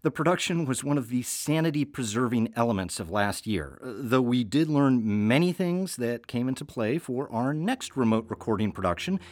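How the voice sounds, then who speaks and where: A man's voice: mid-range voice, animated, moderate pace, few pauses, no echo. Man co-hosting a podcast, dry close sound. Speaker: audio fiction producer, host. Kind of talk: semi-scripted podcast conversation.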